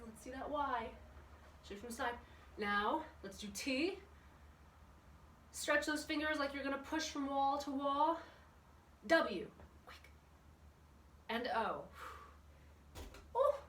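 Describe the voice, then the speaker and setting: A woman's voice: high-pitched, a bit bright. Chatty woman teaching kids' fitness, echoey room. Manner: chatty, encouraging, asks the audience questions. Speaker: children's fitness class instructor. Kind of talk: instructional exercise class for kids, addressing viewers. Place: indoor room with windows open. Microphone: camera at a distance. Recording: echoey room, distant microphone, background noise.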